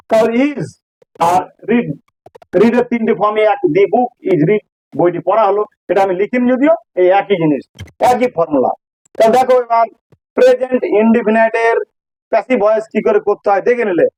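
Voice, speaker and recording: Male tutor, extremely high voice-changed pitch, dry close sound, patient step-by-step explaining with lots of repetition. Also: moderate pace, darkish tone, no room sound, poor audio. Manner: didactic, repeats key phrases and checks understanding. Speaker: English teacher. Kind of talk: informal grammar lesson explained step by step.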